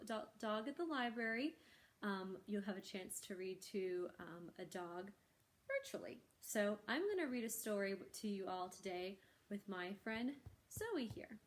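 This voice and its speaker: A woman hosts a children's library storytime in a chatty, informal way. Her voice sits at a middling pitch as she casually introduces a read-aloud. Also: lively intonation, normal speed.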